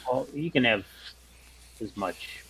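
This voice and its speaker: A man's voice: medium pitch, expressive, slow, gravelly, fairly bright tone, slight background noise, rough recording. A man playing a tabletop game, chatting casually with the other players. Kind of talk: casual chat.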